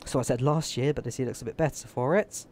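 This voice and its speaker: A man's voice: mid-range voice, fast talker, a little mellow, a little hiss or hum. A young man from the UK, chatting casually and rambling to viewers while he streams a flight simulator. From United Kingdom. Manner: rambling, chatty, thinking aloud while fiddling with settings. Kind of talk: casual live-stream chat.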